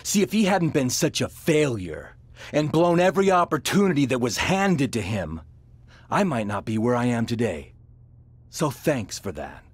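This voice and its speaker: An actor playing an arrogant, scornful champion wrestler in a video game, with a husky voice and a cocky, sneering delivery. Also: male voice, mid-range voice, sing-song, normal speed, no room sound. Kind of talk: video game cutscene acting.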